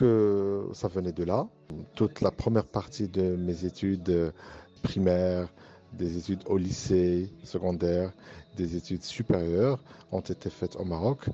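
A middle-aged man speaks in a low, dark voice, earnest and measured, pausing often on hesitant fillers. The recording sounds dull and lo-fi, with faint music underneath. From Morocco. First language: Arabic. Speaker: university professor and engineering director. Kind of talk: interview testimony for a student podcast.

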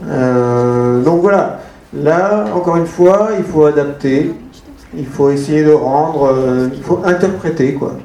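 A man's voice: on the high side, slow, mellow, echoey room. A man who translates books, talking at a panel in a hesitant way with many restarts. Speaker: literary translator. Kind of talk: panel discussion.

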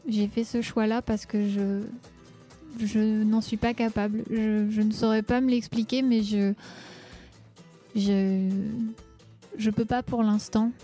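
A young woman giving a personal testimony in an introspective, halting way. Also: mid-range voice, warm tone. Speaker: participant in a theatre occupation.

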